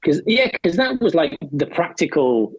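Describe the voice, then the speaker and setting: A man's voice: fairly high pitch, very animated, moderate pace, slightly rough, dry recording. A man in his forties, a podcast guest, telling his story with wry humour. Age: 40s. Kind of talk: casual chat.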